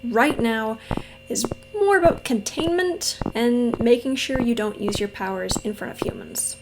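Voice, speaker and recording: A woman playing a friendly, patient mentor in a roleplay audio drama, speaking to the listener in a gentle, reassuring, casually instructive way. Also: a little high, lively intonation, a little slow, heavy background noise. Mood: friendly, explaining. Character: friendly female mentor with powers, patient and encouraging trainer.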